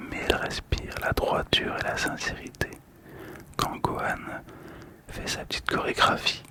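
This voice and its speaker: A man speaks entirely in a hushed whisper, in the style of ASMR. His delivery is soft, calm and gentle. He describes what he examines in an unhurried way. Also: very high-pitched. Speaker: host of a manga card ASMR channel.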